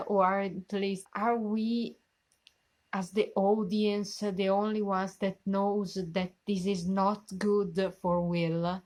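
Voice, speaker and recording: Slow-talking Italian woman reacting to a show. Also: mid-range voice. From Italy. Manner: searching for words, many fillers, animated hand gestures. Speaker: reaction channel host. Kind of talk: casual reaction commentary. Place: small bedroom, indoors.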